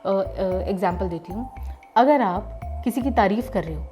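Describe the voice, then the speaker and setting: A woman's voice: mid-range voice, animated, a little slow, warm tone, soft background music. A middle-aged woman from Delhi whose first language is Gujarati, a radio jockey explaining voice training to listeners in a conversational way. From Delhi, India. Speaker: radio jockey teaching voice work. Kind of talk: voice-training lesson.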